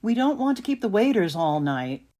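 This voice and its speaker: A woman's voice: mid-range voice, very animated, normal speed, mellow, natural voice. A woman acting in an audio play as a practical, no-nonsense mother talking with her children.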